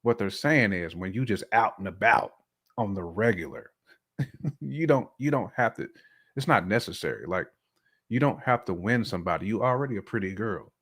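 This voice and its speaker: Casual podcast voice: American man, lower register, colloquial and slangy, leaning on repetition for emphasis. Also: lively intonation, moderate pace, fairly bright tone, breathy edge.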